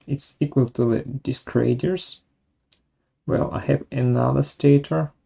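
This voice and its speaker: A software webinar presenter narrating a tutorial step by step in a measured way, halting between steps. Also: mid-range voice, steady tone, creaky, slightly rough.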